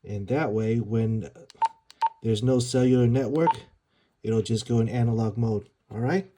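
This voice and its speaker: A man's voice: mid-range voice, unhurried, heavy background noise. A man reviewing gear in a casual, conversational and slightly rambling way, explaining things as he goes. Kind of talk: casual product demo talk.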